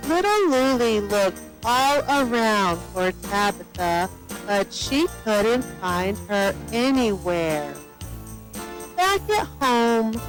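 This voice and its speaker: A woman reading scripted narration for a children's storybook in a simple, deliberate storytelling manner. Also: a little high, rough recording.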